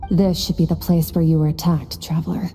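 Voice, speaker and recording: An actress in a video game playing an aloof, coolly commanding woman warrior mentor, detached and measured. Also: low-pitched, flat delivery, music in the background. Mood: analytical, composed.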